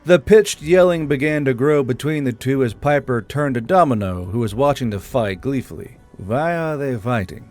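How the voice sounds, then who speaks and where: A man's voice: mid-range voice, normal speed, hardly any pauses, darkish tone. A man reading scripted fantasy fiction as an audiobook narrator. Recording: background music under the voice.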